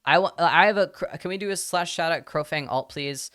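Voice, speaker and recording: Smooth-voiced American-accented man chatting on stream. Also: a little high, fairly quick. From Spain. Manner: rambling and animated, slipping between English and Spanish. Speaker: livestreamer. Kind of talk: casual livestream chat, answering chat questions.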